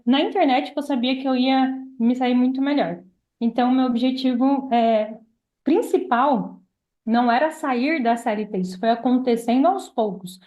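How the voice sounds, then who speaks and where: A woman's voice: on the high side, darkish tone. A young Brazilian woman telling her own story casually as a podcast guest. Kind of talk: casual personal storytelling in conversation. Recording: slightly dull, lo-fi podcast audio.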